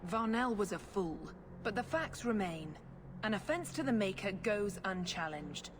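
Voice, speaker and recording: An actress voicing a scheming, zealous priestess in a video game, with a formal, controlled and sanctimonious manner. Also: female voice, mid-range voice, expressive, unhurried, natural voice. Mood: cold, smug. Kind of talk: dramatic video game acting. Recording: loud ambient noise behind.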